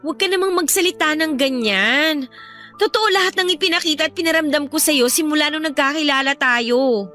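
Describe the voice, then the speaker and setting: An actress playing an evasive young lover seeking forgiveness, speaking with a Filipino accent in a coaxing, apologetic way in a radio drama. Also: female voice, sits high, a little fast, bright, forceful tone, soft background music. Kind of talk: radio drama acting in Filipino love story.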